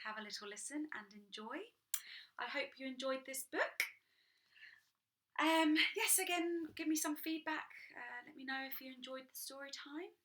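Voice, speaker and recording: Young woman, storytime reader for children; higher voice, chatty and keen. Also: fairly bright tone. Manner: chatty, enthusiastic asides to young listeners. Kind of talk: reading a picture book aloud to children.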